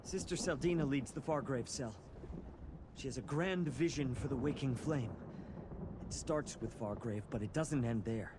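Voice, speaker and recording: A man plays a disgruntled male cult initiate in a fantasy video game, wary and exasperated, with a mid-pitched voice. He grumbles his secrets like someone anxious to get out. Clear background ambience runs under the voice. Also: normal speed, noisy background, lightly acted. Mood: wary, urgent.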